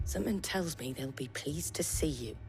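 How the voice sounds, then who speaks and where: A woman playing a plain-spoken, tough leader of an embattled settlement in a fantasy game, brisk and matter-of-fact. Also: low-pitched, expressive, a little mellow, faint music behind. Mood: businesslike. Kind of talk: cinematic fantasy game acting.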